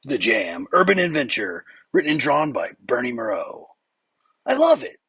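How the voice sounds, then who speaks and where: A man reads a comic aloud theatrically in a husky voice. His pitch swings dramatically, and he takes his time, sounding close and dry. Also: wildly swooping pitch, normal speed, fairly bright tone, no echo.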